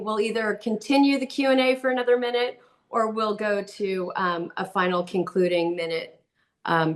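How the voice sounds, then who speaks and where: A woman's voice: medium pitch, fairly bright tone. A woman chairing an endorsement interview over a video call, speaking casually with many fillers. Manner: casual, filler-heavy, friendly. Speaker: committee chair moderating interviews. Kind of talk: moderating an online endorsement interview.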